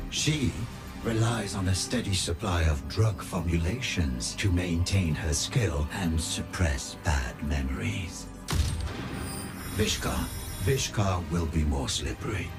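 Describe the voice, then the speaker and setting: An actor playing a smooth, calculating criminal strategist in a video game cutscene, speaking with polite, measured flattery. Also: male voice, deep voice, exaggerated voice. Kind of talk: video game cinematic voice acting.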